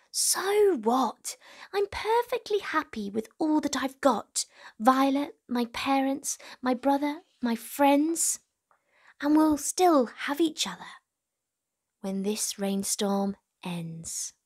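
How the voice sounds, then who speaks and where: Woman in her twenties, higher-pitched voice, children's read-aloud host, encouraging, speaking directly to kids. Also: lively intonation, takes it slowly, a little mellow. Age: 20s. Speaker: children's storytime host and reader. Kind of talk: children's storybook read-aloud and presenting to young viewers.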